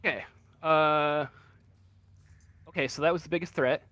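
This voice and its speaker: A man's voice: on the high side, steady tone, moderate pace, a bit bright, dry recording. A man improvising narration as game master of a tabletop game, hesitant and often searching for words.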